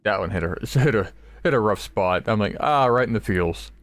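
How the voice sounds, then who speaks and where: Low-voiced man rambling through gameplay. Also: lively intonation, dry recording. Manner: rambling, halting, swears casually. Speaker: gaming video creator. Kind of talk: casual let's play commentary.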